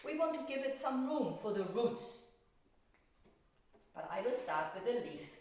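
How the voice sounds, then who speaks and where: A woman's voice: a little high, very animated, measured pace, a little mellow. Woman teaching children in a very echoey room. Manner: simple step-by-step explaining to children, with questions. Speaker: art teacher. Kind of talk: children's painting lesson with storytelling. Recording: very echoey room, distant mic.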